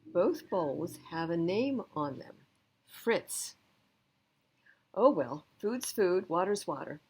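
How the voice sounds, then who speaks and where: A woman's voice: fairly low pitch, animated, slow pace, a little mellow, husky, heavily acted. A woman voicing a wry, thoughtful rescue dog in a storybook, with an emphatic, plain-spoken delivery. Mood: resigned, wry.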